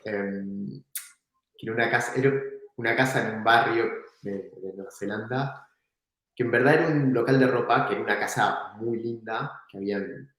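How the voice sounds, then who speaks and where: A man's voice: medium pitch, moderate pace. Argentine-sounding man in a very echoey room. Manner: relaxed, reflective, laughs now and then. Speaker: entrepreneur guest. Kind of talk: casual storytelling in an interview.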